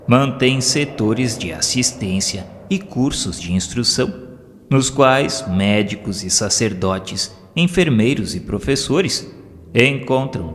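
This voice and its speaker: A man with a Brazilian accent reads a scripted audio novel as its narrator, in a solemn, formal and measured literary manner. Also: on the low side, a little hiss or hum. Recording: long hall reverb, music underneath. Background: background music.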